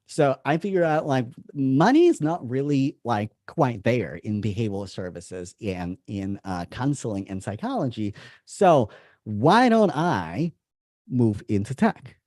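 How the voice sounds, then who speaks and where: A man's voice: medium pitch, expressive, a little slow, a little mellow, dry recording, clean studio sound. A man in his thirties from Russia, with a Russian accent, presenting an informal online masterclass as a coach, often repeating and rephrasing his phrases. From Moscow, Russia. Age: 30s. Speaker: business coach hosting a masterclass.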